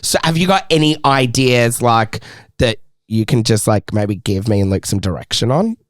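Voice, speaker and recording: Lively Australian podcast host. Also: male voice, medium pitch, moderate pace, dry recording, clean studio sound. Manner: animated, joking, bursts into laughter. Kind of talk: casual podcast chat.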